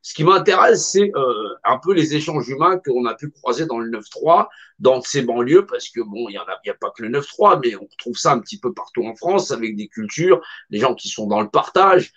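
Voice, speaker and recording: A man's voice: medium pitch, expressive, fairly quick, a bit bright. A middle-aged man giving an impassioned, emphatic monologue in a colloquial, repetitive way. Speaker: speaker. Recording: dull lo-fi recording.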